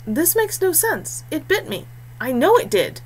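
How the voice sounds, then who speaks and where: A woman's voice: high-pitched, sing-song, measured pace, voice pushed up. A woman voicing a puzzled, informal young male warrior who questions things in audiobook dialogue. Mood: baffled. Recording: clearly noisy background hum.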